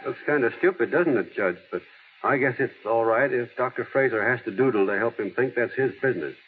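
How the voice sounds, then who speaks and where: A man's voice: medium pitch, a little fast, natural voice. An actor playing an earnest, plainspoken small-town American man in old radio drama style, folksy and a little hesitant. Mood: wry, easygoing. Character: earnest small-town everyman, plainspoken, gently wry, heir to a fortune. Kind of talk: 1930s-40s American radio drama acting. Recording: tinny, hissy old radio broadcast.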